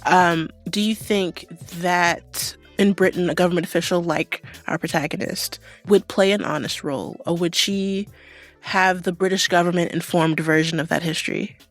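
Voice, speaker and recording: A woman's voice: fairly low pitch, steady tone, moderate pace, soft background music. A woman hosting a podcast interview in a casual, playful and informal conversational style. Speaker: podcast host interviewing an author.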